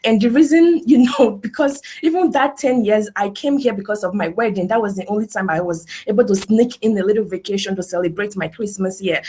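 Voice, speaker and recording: A woman's voice: mid-range voice, a little mellow, slightly rough. A woman giving an earnest webinar testimonial from her home, full of "you know" and "right?". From Nigeria. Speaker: business testimonial speaker. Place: her house in Nigeria.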